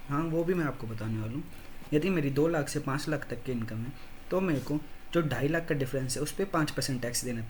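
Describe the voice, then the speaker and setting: A man's voice: mid-range voice, darkish tone, a little hiss or hum. A man with an Indian accent, hosting his own channel, walks viewers through tax slab rates step by step, mixing in English terms and his own income examples. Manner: explains step by step with personal examples; code-switches with many English terms. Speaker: tax explainer, channel host. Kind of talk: screen-walkthrough tax lesson.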